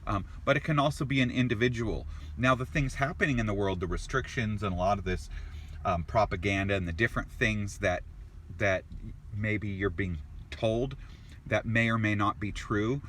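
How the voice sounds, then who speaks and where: A man with a fairly low voice talks viewers through a tarot reading in a loose, casual way. He wanders, doubles back on his words and restarts phrases, and he reacts aloud as each card turns up. A faint hiss of noise sits under his voice. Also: normal speed, fairly bright tone. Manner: rambling, repeats and restarts words; exclaims at cards as he turns them.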